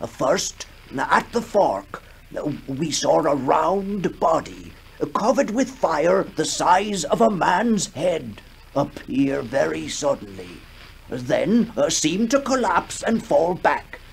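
A man acting a shaken, formal witness recounting horrors haltingly in a 1960s radio horror drama. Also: fairly high pitch, very animated, creaky, heavily acted. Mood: grave, haunted. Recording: noisy 1960s radio recording.

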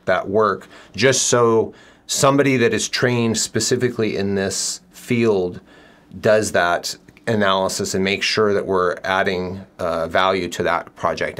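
A middle-aged man with a lowish voice hosts a relaxed interview from an office room. He talks conversationally, probing with questions and circling back with fillers and restarts. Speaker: builder and show host.

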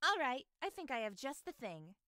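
A woman's voice: high-pitched, big pitch swings, moderate pace, sharp tone, a little hiss or hum, exaggerated voice. A woman voicing a kind, confident young dragon girl in a video game, friendly and reassuring. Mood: upbeat.

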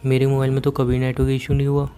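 Mellow young Indian man on a phone call. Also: medium pitch, completely flat delivery, fast talker, a little hiss or hum. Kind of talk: casual intimate phone chat. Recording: phone call recording, faint music.

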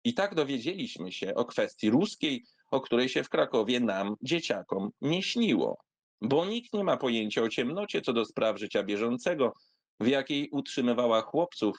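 A man's voice: medium pitch, no room sound. Dry-sounding studio read by a male storyteller. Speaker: audiobook reader. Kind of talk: expressive literary memoir reading.

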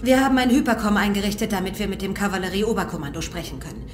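A woman's voice: mid-range voice, rapid-fire, natural voice. An actress in a German audio drama playing a confident, alert young woman in a spaceship crew. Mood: explaining. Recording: echoey room, music behind.

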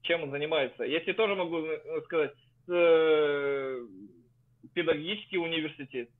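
A man's voice: on the high side, moderate pace. A man in his thirties on a video call with an earphone mic, combative and dismissive. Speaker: chat roulette stranger. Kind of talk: argumentative video chat. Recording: tinny video call line.

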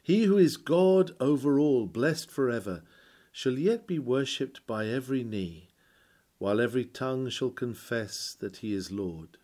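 A man reading an old sermon aloud in a solemn, declamatory way, with formal, measured and emphatic phrasing. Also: mid-range voice, lively intonation, warm tone. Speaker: reader of a historical sermon. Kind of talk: sermon read aloud, formal scripted oratory.

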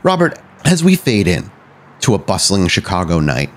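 A man hosting and narrating a tabletop roleplaying game, often halting and correcting himself as he goes. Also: sits low, huge pitch swings. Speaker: podcast host and game storyteller.

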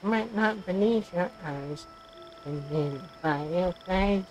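A woman giving casual, offhand reactions while playing a video game, in short unhurried comments. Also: fairly low pitch, a little mellow, slight background noise, rough recording. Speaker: gaming streamer. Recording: game music behind voice. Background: game music.

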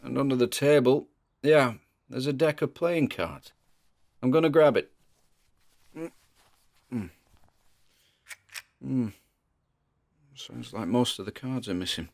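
A man's voice: medium pitch, expressive, takes it slowly, gravelly, long pauses, darkish tone. A British man playing an uneasy, curious paranormal investigator in an audio horror story, muttering hesitant, wry asides as if reporting into a recorder. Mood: puzzled, wary. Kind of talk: found-recording horror monologue, spoken like a podcast field report.

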